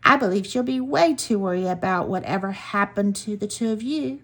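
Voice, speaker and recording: A woman voicing a cheeky, confident young male animal cub in a storybook, excitable and mischievous. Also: mid-range voice, normal speed.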